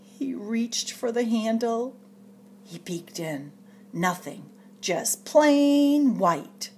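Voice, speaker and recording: A woman reading a picture book aloud to children in an expressive, dramatic storyteller's way, drawing out suspenseful phrases. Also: fairly high pitch, very slow, breathy edge. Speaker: storytime reader at a public library.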